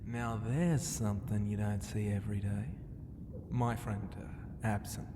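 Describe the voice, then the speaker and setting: A man voicing a huge, proud and flirty ocean god who murmurs in an unhurried, teasing way for an ASMR roleplay. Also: on the low side, steady tone, noisy background, lightly acted. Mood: curious, teasing.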